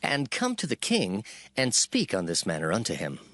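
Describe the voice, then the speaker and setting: An actor playing a scheming commander who gives instructions dramatically in a Bible audio drama. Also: male voice, lowish voice, huge pitch swings, moderate pace, fairly bright tone, no echo. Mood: scheming, urging. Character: scheming military man coaching someone in deceit.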